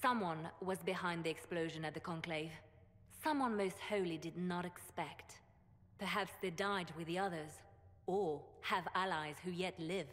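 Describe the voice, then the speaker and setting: A woman's voice: fairly low pitch, steady tone, normal speed, a bit bright, close to an everyday voice. A woman acting a cool advisor, echoing hall. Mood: suspicious. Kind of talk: dramatic fantasy game acting. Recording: reverberant hall with faint music behind.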